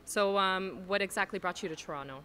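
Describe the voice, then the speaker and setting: A woman's voice: medium pitch, fairly quick. A young woman in her twenties hosting and interviewing casually into a handheld microphone. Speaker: host, interviewer. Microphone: handheld interview mic. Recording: loud music behind.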